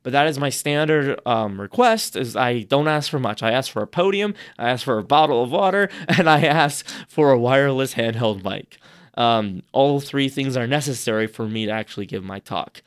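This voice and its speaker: A man giving casual advice on public speaking on a podcast, chatty and rambling, restarting his sentences often, his voice a little hoarse. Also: mid-range voice, animated, moderate pace. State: slightly hoarse. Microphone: podcast microphone.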